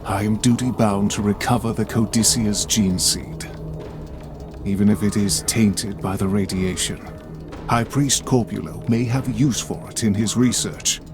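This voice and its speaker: Man as a stern, low-voiced warrior-priest. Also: fairly even intonation, over music, natural voice. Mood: dutiful, stern. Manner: formal, measured. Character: dutiful, stern space marine warrior-priest, formal and stoic. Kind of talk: game cutscene acting.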